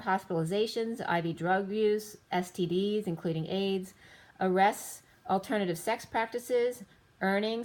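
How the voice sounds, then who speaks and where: Woman reading tarot cards, rambling delivery, slightly hoarse, mid-pitched voice. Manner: rambling, lists card after card in loose fragments. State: slightly losing her voice. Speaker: tarot reader.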